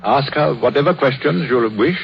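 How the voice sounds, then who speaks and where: An actor playing a gentle, philosophical old minister in a 1930s radio drama, with a British accent and a measured, solemn manner. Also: male voice, mid-range voice, creaky, slight background noise, natural voice. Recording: dull, muffled 1930s radio recording.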